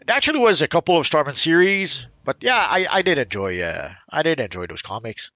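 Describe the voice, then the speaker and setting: A man's voice: fairly high pitch, very animated, fairly quick, sharp tone, no echo. A middle-aged man from Quebec with a mixed Quebec accent, chatting in a hesitant, rambling way while playing a game. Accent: Quebec French mixed. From Quebec, Canada. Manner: rambling, hesitant, many fillers and restarts. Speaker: gaming channel host. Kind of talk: casual commentary while playing.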